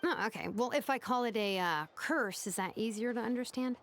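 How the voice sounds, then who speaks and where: A woman's voice: a little high, brisk, lightly acted. A woman playing a brainy young mechanic in a video game, casual and analytical as she explains things. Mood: patient, teasing.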